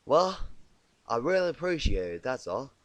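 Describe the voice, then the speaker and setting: Guy putting on a farm girl pony, folksy n earnest, pitched up. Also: big pitch swings, moderate pace, fairly bright tone, dry recording. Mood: earnest, grateful. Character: folksy, grateful, hardworking female farm pony.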